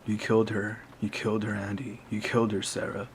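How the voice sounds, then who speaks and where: Cast: man doing a menacing killer, low gravelly coax. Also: monotone, fairly quick, a little hiss or hum, close to an everyday voice, rough recording. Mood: cold. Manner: falsely soothing, taunting. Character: menacing male killer, coaxing and cruel.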